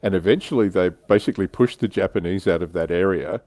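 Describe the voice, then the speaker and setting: A man with a low voice talks through his subject in a relaxed, conversational way. He hosts a casual livestream discussion, explaining as he goes. The sound is dry, with very little room in it. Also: fast, no room sound. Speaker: livestream host. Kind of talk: casual discussion of military history and tabletop wargaming.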